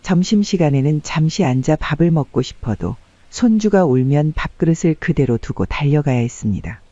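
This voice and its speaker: Soft-toned male narrator, higher-pitched voice. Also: expressive, moderate pace, no echo, lo-fi sound. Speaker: story narrator. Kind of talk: scripted audiobook narration of a family story.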